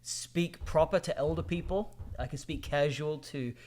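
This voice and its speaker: A man in his forties, a pastor co-hosting a podcast, chatting in a loose, animated way with frequent fillers and laughs. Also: on the high side, normal speed. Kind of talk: casual chat.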